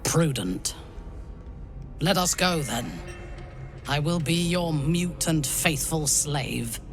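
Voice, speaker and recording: Casting: menacing female drow warlord, unhurried and haughty, game drama, music underneath. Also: sits low, animated, exaggerated voice. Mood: cold menace. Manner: measured, imperious, formal. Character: proud, vengeful female drow commander, cold and menacing. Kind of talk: video game voice acting. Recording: background music.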